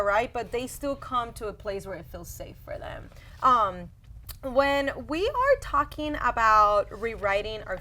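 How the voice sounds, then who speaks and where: A young woman hosts a relaxed podcast chat with a somewhat high voice. She talks encouragingly and keeps checking in with her guests, often ending thoughts with "right?". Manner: warm encouraging, many "like" and "right?" tags. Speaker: podcast host. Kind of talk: casual heartfelt interview chat.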